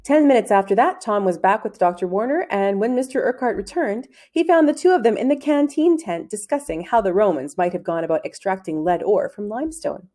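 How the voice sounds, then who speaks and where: Woman reading a kids book out loud, soft mellow tone, medium pitch, measured phrasing. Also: animated. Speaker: reader of a children's novel. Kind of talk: read-aloud storytelling narration.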